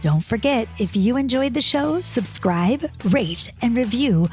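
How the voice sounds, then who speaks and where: A woman's voice: on the high side, expressive, a little slow, soft background music. A woman, hosting a casual solo podcast. She talks in an exasperated, chatty way, stumbles over restarts and reads listener comments aloud before answering them. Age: 50s. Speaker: podcast host and dating coach.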